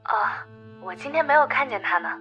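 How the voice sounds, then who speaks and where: A woman's voice: high-pitched, expressive, a little fast, highs cut off, faint music behind. A woman playing a polite young receptionist answering the phone, in an audiobook drama. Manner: polite, customer-service formality.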